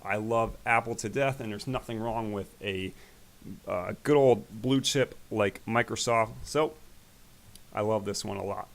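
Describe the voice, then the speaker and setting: A man hosting an investing channel, talking casually through his stock portfolio in a rambling way, his voice growing raspy. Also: lowish voice, unhurried. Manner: relaxed, rambling, with fillers. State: voice getting raspy. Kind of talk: casual screen-share stock commentary.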